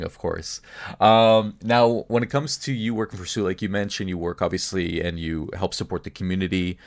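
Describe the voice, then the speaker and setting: A man with a deep-set voice hosts a podcast. He speaks casually and conversationally, addressing his guest and audience in an informal interview style. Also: vocal fry. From Canada. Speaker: podcast host.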